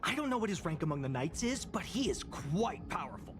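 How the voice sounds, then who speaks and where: A woman's voice: lowish voice, big pitch swings, a little fast, over music. A woman playing a cynical, dramatic young office worker in a video game, sounding sardonic, theatrical and exasperated. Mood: scheming, eager. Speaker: voice actor in a game. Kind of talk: animated video game dialogue.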